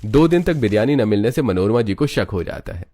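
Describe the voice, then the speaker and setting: A man narrating a cartoon story in a steady, scripted way. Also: fairly low pitch, brisk.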